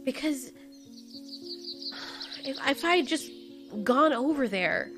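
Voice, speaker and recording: An emotional female giant moth monster, acted out by a woman in an audio drama. The voice is high and swings widely in pitch. She speaks haltingly and earnestly, as a caring creature who wears her worries openly. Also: expressive, over music. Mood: guilty grief. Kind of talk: amateur audio drama dialogue.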